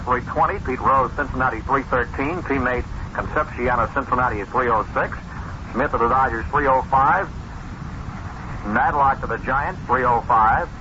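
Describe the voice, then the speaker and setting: Male baseball broadcaster, dull noisy old radio. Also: medium pitch. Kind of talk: reading statistics on air.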